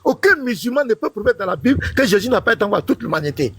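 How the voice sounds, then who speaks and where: A man in a heated live-stream debate, insistent and talking over others, his voice rising into loud indignation. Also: high voice, sing-song, rapid-fire, breathy edge.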